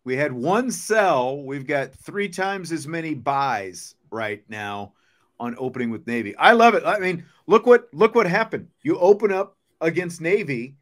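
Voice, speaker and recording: A man's voice: on the high side, animated, a little slow. A man hosting a casual sports talk show, conversational and rambling as he restates his points.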